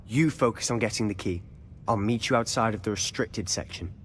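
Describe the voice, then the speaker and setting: An actor playing a cocky young wizard student with a smooth, conspiratorial, self-assured manner. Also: male voice, lowish voice, a little fast, fairly bright tone, big-room sound, a little hiss or hum, lightly acted. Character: cocky teenage wizard student, scheming, confident. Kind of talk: video game cutscene acting.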